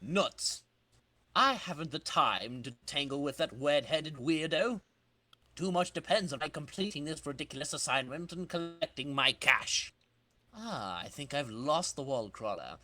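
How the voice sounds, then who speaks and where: A cocky comic-book supervillain racer, acted out by a man, boasting and scheming out loud. The delivery is over-dramatic and sharp, leaping up and down in pitch, recorded close and dry. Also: expressive, unhurried, no room sound. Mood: scheming, exclaiming.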